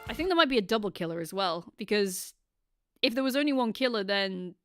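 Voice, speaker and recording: A woman streamer giving expressive, joking let's play commentary and exclaiming at the story. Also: mid-range voice, fairly quick, clean tone, faint music behind. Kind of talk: let's play reaction commentary with character voicing.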